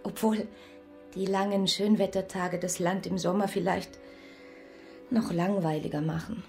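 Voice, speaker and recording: An actress playing a young, dreamy, dissatisfied farmer's daughter with a refined, languid manner in a radio play. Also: female voice, lowish voice, fairly even intonation, unhurried, darkish tone, faint music behind. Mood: wistful complaint.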